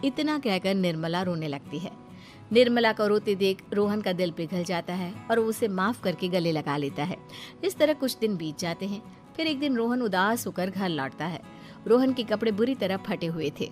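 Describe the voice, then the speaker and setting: A woman's voice: mid-range voice, expressive, moderate pace, darkish tone, soft background music. A woman reading scripted story narration.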